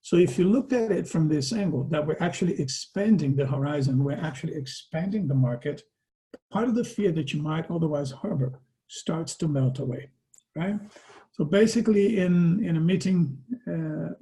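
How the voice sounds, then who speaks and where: A man's voice: a little high, moderate pace, warm tone, low-quality recording. A man with a Portuguese accent, a native Portuguese speaker, giving a conversational presentation over a video call and often ending his points with a tag question. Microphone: video call microphone.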